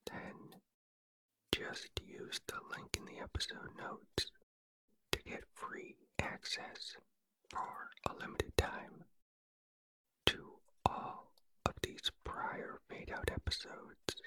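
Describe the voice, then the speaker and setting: A man hosting a sleep relaxation podcast in a breathy whisper, speaking in a gentle, soothing ASMR style. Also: very high voice, no echo, poor audio. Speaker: podcast host and relaxation guide.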